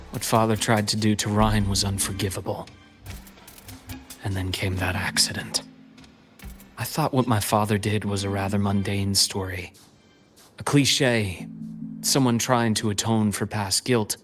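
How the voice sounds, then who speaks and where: An actor playing a grave, composed man with a bitter grudge in a video game, speaking in a measured, restrained way. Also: on the low side, lightly acted. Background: game music.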